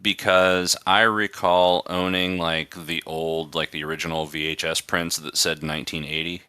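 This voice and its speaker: A man's voice: low-pitched, flat delivery, moderate pace, pushed and bright, smooth. A man hosting a podcast, chatting casually in a rambling, conversational way. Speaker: podcast host. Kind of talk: casual chat.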